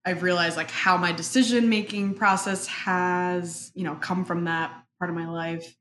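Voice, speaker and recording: Brief: young woman, native Spanish speaker, slightly low voice, thoughtful and halting; echoey room, faint background hiss. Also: steady tone, fairly bright tone. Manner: thoughtful, halting, many fillers. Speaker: interview guest. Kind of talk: reflective personal interview.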